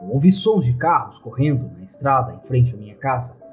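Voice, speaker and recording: A man's voice: mid-range voice, very animated, a little fast, soft background music. A man with a Brazilian accent reading a scripted first-person horror story as narrator.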